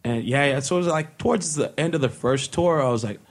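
A man's voice: on the high side, fairly quick. An American man chatting casually and telling stories in loose slang, with some swearing. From born in Mexico, raised in Long Beach, California from about age three. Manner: slangy, swearing, quotes own thoughts. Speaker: hardcore band singer, interview guest.

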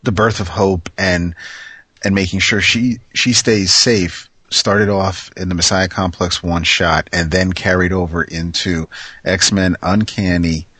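A man with a very low, husky voice talks slowly and easily as a podcast co-host. The sound is dry and close-miked. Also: steady tone, sharp tone, no room sound. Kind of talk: casual chat.

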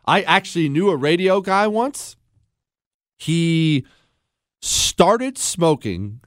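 A man from Ohio hosts a radio talk show with a fairly high voice that sounds dry and close in the studio. He is opinionated and sarcastic, with mocking jokes throughout. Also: no echo. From Ohio, USA.

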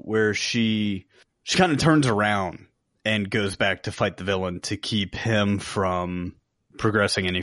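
A man with a fairly low voice talks casually as a podcast co-host reviewing a film. He retells moments in an animated way, voicing reactions as he goes. The sound is very dry, with no room on it. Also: no echo.

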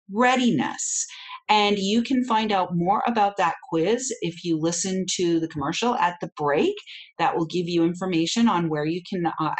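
A woman hosting a podcast, interviewing a guest and making upbeat announcements. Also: medium pitch. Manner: upbeat, promotional. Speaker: podcast host.

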